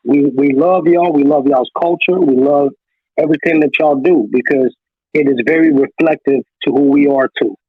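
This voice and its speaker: A man's voice: on the high side, fairly even intonation, moderate pace, husky, dry recording. A man from South Africa speaking up in an online audio room, earnest and reassuring, often repeating himself. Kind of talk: audio chat room discussion. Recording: tinny phone-like audio.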